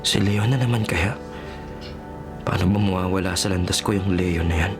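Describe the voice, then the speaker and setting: A man's voice: low voice, moderate pace, over music, natural voice. An actor playing a clingy, jealous, lovesick young man in a radio drama, with a Filipino accent. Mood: brooding. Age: young adult. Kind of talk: Tagalog radio drama acting.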